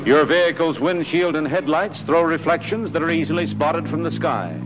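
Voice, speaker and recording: 1940s American training film narrator, noisy soundtrack. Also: male voice, on the high side, fairly even intonation, normal speed. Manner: clear, instructive, imperative delivery.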